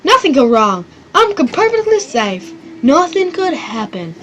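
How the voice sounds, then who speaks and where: A carefree soldier lounging on a city wall, as a woman puts him on, his put-on British or Australian lilt sitting high and light. He sounds smug and sure nothing can go wrong. The voice is a quick gamer's skit, not a studio role. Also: big pitch swings, dull audio, background noise. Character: smug male Carthaginian wall guard, oblivious.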